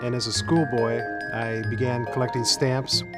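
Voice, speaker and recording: A man from Chile speaking personally in a documentary interview, in a mid-pitched voice. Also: little pitch movement, normal speed, loud music behind the voice. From Chile, moved to the United States as a child. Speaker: stamp collector interviewee.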